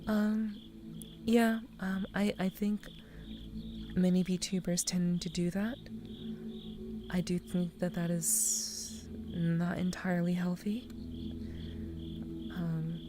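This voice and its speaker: Young woman whispering soft asmr chat, voice kinda scratchy from crying, lowish and even, music playing under it. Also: takes it slowly, pauses often. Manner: soft, soothing, half-whispered. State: slightly scratchy voice after crying. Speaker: VTuber streamer.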